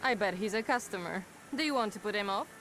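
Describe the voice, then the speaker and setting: An actress voicing a wary, sharp-tongued street woman in a video game, speaking in a mocking, suspicious way. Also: mid-range voice, animated, fast talker, a little hiss or hum.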